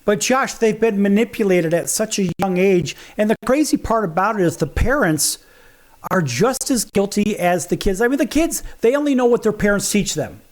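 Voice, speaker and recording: A man hosting a sports talk show, animated and firing off rhetorical questions. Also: sits high, moderate pace. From United States. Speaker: host.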